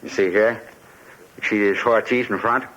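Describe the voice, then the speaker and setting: A man's voice: fairly low pitch, steady tone, normal speed, a bit bright, close to an everyday voice. An actor playing a desperate, bitter immigrant man haunted by his past, insistent and wrought up, in a 1950s radio drama. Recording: dull, noisy 1950s radio recording.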